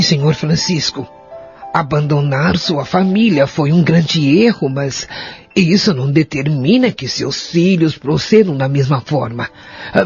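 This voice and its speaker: Male actor as wise consoling woman, creaky voice, reassuring, gently preaching, audio drama, dull sound. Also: a little high, exaggerated voice. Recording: dull lo-fi audio, faint music behind.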